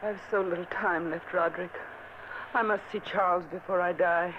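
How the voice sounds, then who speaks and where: A woman's voice: fairly even intonation, normal speed, natural voice. A woman playing a feverish, bitter dying noblewoman in a vintage radio play, intense and accusing. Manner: intense, accusing, rising to shrill cries. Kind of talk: 1940s radio acting. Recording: tinny old radio transcription with hiss.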